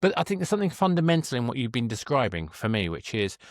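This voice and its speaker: A man's voice: mid-range voice, sing-song, quick pace, no echo, clean studio sound. A man hosting a casual interview, searching for his words as he thinks aloud. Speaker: podcast host, interviewer.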